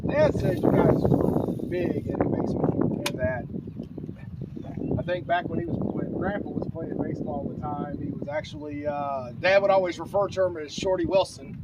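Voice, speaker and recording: High-voiced man chatting through heavy wind. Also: lively intonation, normal speed. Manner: chatting while fishing. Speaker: vlog host. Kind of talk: casual chat, retelling family stories. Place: outdoors on a windy riverbank. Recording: muffled, far from mic, heavy wind noise.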